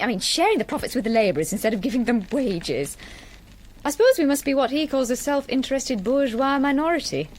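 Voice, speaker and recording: Lively, curious period wife. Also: female voice, fairly high pitch, slight background noise. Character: curious, chattering farmer's wife seeking her husband's attention. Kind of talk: period radio drama acting.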